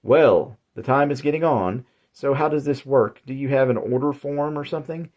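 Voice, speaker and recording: A man's voice: medium pitch, normal speed, highs cut off, voice pushed up, lightly acted. A man voicing a weary, frustrated suburban housewife who talks in a chatty, exasperated way.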